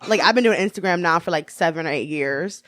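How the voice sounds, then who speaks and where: A woman in her thirties, a guest on a podcast, talking casually and joking, laughing often and jumping up in pitch when she quotes people. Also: fast, no echo. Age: 30s. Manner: animated, jokey, laughs often, jumps up in pitch for quoted asides. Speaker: guest, author and model. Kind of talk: casual interview chat.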